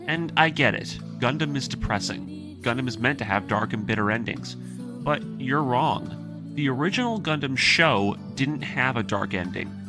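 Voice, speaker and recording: A man's voice: medium pitch, expressive, moderate pace. A man narrating a scripted retelling and analysis as a video essayist, earnest and opinionated, with informal asides. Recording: music behind the voice. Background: background music.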